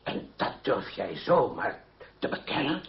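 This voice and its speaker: An older man acting in a radio drama as an irascible, wounded aristocratic uncle who scolds gruffly. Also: medium pitch, very animated, unhurried. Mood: outraged. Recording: dull old radio drama recording.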